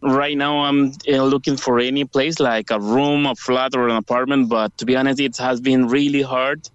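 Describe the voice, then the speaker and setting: A man's voice: medium pitch, steady tone, clear, resonant tone, dry recording. Salvadoran man on a dull phone line. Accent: Salvadoran Spanish. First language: Spanish. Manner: earnest, halting non-native English. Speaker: radio phone-in caller. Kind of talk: conversational interview answers.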